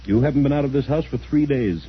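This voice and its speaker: A man's voice: mid-range voice, quick pace, husky, natural voice. A man playing a blunt, stern middle-aged businessman in an old-time radio drama, with a questioning manner. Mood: suspicious. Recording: dull, faintly noisy old radio recording.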